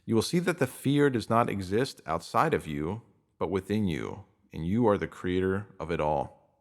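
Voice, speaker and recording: A man's voice: low-pitched, big pitch swings, moderate pace. A man reading a scripted self-help piece, addressing the listener directly. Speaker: reader of written posts.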